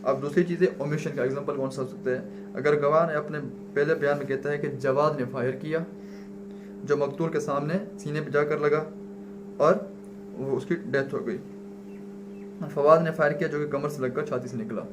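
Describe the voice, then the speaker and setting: A man's voice: fairly high pitch, steady tone, moderate pace. Pakistani man lecturing over a noisy hum. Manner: didactic, asks questions and answers them himself. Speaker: law lecturer. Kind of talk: informal explanatory lecture mixing English legal terms. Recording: noisy recording with clear hum.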